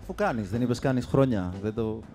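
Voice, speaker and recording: A man's voice: mid-range voice, lively intonation. A man, bantering casually as a contestant on a talent show stage. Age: 20s. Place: large TV studio stage. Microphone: handheld microphone held close. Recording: clear music behind the voice.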